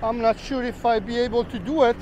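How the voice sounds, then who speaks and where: A man's voice: very high voice, fairly even intonation, brisk, muffled recording. A man talking casually to viewers while walking a rainy street, rambling and chatty, calling them guys. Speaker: travel vlogger. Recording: street traffic noise behind.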